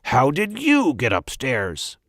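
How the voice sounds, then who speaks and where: A man voicing a gruff, puzzled night watchman who exclaims emphatically in a children's storybook. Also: medium pitch, huge pitch swings, slow, fairly bright tone, no echo, clean studio sound, voice pushed up, heavily acted. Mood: puzzled, loud. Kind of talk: children's storybook read-aloud.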